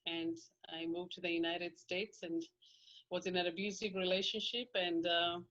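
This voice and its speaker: A middle-aged Kenyan woman with a lowish voice recounts her childhood as an interview guest. Her storytelling rambles and often restarts. The sound is dull and lo-fi. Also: little pitch movement, moderate pace, fairly bright tone. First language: Kikuyu. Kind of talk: casual life-story interview.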